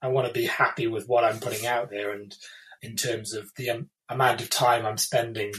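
A man's voice: mid-range voice, little pitch movement, moderate pace, fairly bright tone, rough recording. A man in his thirties from the UK, an illustrator talking casually in an interview, thoughtful and hesitant with many fillers and restarts. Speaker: illustrator, guest.

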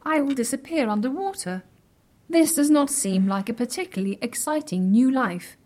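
A woman playing a vain, literal-minded artificial intelligence in an audio drama comedy, speaking in deadpan, stilted formal phrases. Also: high voice, moderate pace. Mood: deadpan, self-assured.

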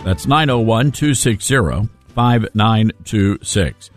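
A man's voice: on the low side, animated, slow, no echo, faint music behind. A man hosting a conversational call-in radio show, with a sardonic, joking and emphatic way of talking. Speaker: radio talk show host.

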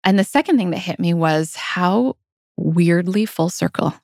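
Creaky-voiced woman podcaster, close and dry. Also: on the low side, unhurried, darkish tone, dry recording. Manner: earnest, reflective storytelling. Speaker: podcast co-host. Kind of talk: reflective casual podcast conversation.

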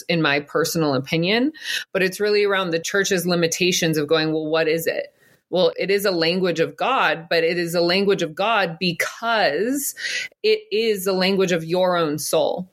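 A woman's voice: fairly low pitch, normal speed. Middle-aged woman, earnest, flowing delivery, laughs easily, podcast guest. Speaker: podcast guest, psychic and business coach. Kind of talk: spiritual interview talk.

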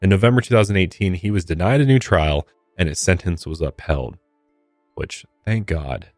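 A man's voice: sits low, expressive, normal speed, dry recording, faint music behind. A man with an American accent narrating true crime as a podcast host, with casual commentary mixed in.

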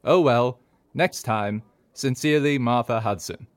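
An actor playing a level-headed, gently droll Victorian gentleman in an audio fiction comedy, diplomatic and wry. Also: mid-range voice, a little slow, no echo, close to an everyday voice. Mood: dry, measured.

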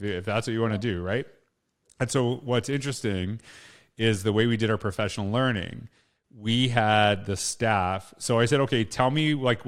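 A middle-aged Canadian man hosting a podcast in a casual, rambling conversational way, often rephrasing himself. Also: lowish voice. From Canada, small town Saskatchewan. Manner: informal, rambling, self-rephrasing. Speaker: podcast host.

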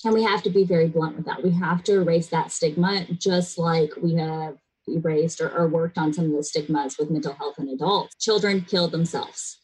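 A woman's voice: sits low, steady tone, normal speed, darkish tone, slightly rough, poor audio. A middle-aged American woman speaking earnestly and bluntly in a serious conversational interview, with emphatic delivery. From United States, raised in a military family abroad and in Florida.

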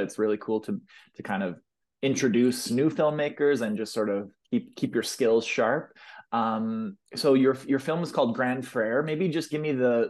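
A man hosting a podcast, reading a scripted intro and then asking relaxed, easygoing interview questions. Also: mid-range voice. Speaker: podcast host.

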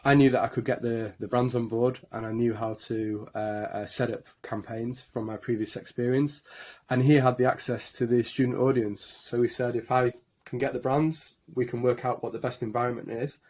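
Flat-voiced Yorkshire man with many fillers. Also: medium pitch, normal speed. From Yorkshire, England. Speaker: guest, marketing agency director. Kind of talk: podcast interview storytelling.

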